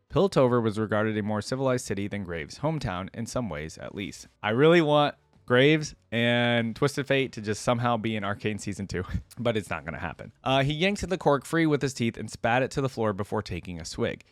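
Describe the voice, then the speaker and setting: A man's voice: mid-range voice, no echo. A man hosting a reaction video, reading game lore aloud and breaking off for casual comments.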